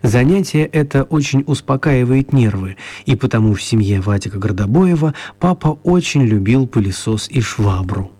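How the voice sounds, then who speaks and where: A man narrating a children's radio play as an expressive, mock-serious storyteller. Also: mid-range voice, moderate pace, few pauses, husky, dry recording.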